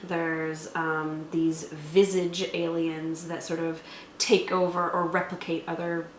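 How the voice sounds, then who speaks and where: Woman, lowish pitch, conversational explainer, review co-host, echoey room. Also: flat delivery, slow. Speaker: co-host of a tabletop game review. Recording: roomy echo, faint background noise.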